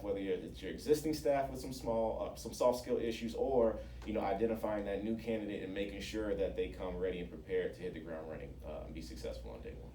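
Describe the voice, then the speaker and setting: A man's voice: fairly low pitch, mellow. A man speaking conversationally and in a businesslike way as a radio interview guest, explaining his organisation's programmes. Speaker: interview guest, founder of a job-training organisation. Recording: roomy, distant mic, faint noise.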